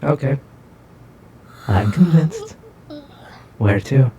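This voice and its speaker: A woman's voice: a bass voice, wildly swooping pitch, drawn-out words, mellow, muffled recording, heavily acted. A woman playing a playful, teasing young princess who jokes with her companions. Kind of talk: casual roleplay dialogue.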